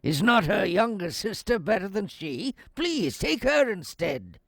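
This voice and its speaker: A man playing an older biblical father-in-law with a creaky voice, nervously placating, in a radio-style dramatization. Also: high voice, big pitch swings, unhurried, fairly bright tone, no echo, studio quality, full character voice.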